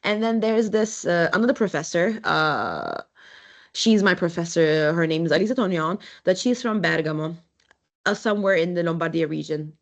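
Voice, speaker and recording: A young adult woman from Turkey, giving a university lecture as an architect, speaking in a halting, conversational way with frequent restarts. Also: lowish voice, animated. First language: Turkish.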